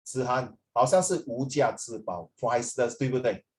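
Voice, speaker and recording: A man's voice: mid-range voice, normal speed. A middle-aged man with a Singaporean accent, chatting informally as a language teacher and slipping between English and Mandarin, with frequent tags like "ah". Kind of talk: informal classroom talk with students.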